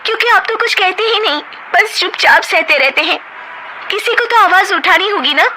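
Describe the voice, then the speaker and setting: A feisty, sharp-tongued young wife , as a woman puts her on, her voice pitched high and bright. She talks back defiantly and in lively swings. Her tone is quick and cutting. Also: a little hiss or hum, low-quality recording.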